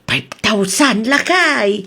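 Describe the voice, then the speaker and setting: A woman acts out a proud older wife in an Ilocano comedy drama. Her voice is very high and her delivery slow and drawn out, full of excitable exclamations in an Ilocano accent. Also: creaky, heavily acted.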